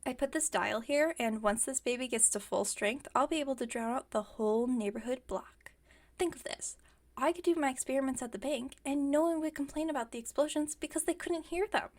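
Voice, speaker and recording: A woman's voice: high-pitched, brisk. A woman playing a playfully defensive, stubborn villain inventor in an ASMR roleplay, chatting and teasing with mock innocence. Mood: indignant, defensive. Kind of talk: ASMR roleplay, one-sided conversational acting.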